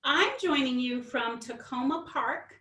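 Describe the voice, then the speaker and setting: High, lively woman in a roomy space. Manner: expressive, deliberate phrasing for children. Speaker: children's author, guest reader. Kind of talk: animated storybook read-aloud. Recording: roomy, distant microphone.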